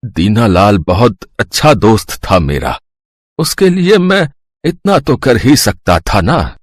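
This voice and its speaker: A man's voice: lowish voice, big pitch swings, slow, dry recording, clean studio sound, pitched below the performer's own voice, full character voice. A man voicing a poor, kindly old village butcher, humble and pleading, in a cartoon story. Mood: happy, affectionate. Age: older. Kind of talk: Hindi cartoon story dialogue.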